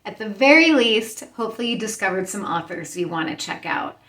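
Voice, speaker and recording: A woman's voice: medium pitch, expressive, fairly quick, bright, forceful tone. A woman, a librarian, hosting and giving a scripted wrap-up that speaks directly to viewers. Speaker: librarian host.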